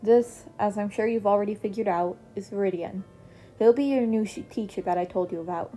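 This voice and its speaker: Cast: woman reading as a blunt, tired male teacher, mellow voice, soft music underneath. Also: mid-range voice, a little fast, natural voice. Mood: dry.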